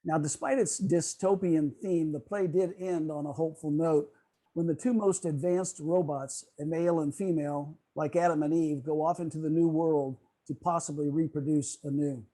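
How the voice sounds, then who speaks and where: A man gives a prepared lecture at an online club meeting. His voice is mellow and slightly high, and his delivery is formal and measured. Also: steady tone, poor audio. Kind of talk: prepared lecture on radio history. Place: online video call.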